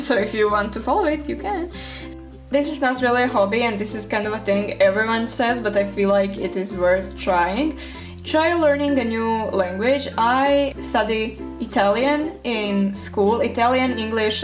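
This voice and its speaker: Teen girl over music. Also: medium pitch. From Croatia. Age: teenager. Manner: friendly, casual, scattered filler words. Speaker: vlogger. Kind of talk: chatty list-style video voice-over. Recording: voice-over with clear background music. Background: background music.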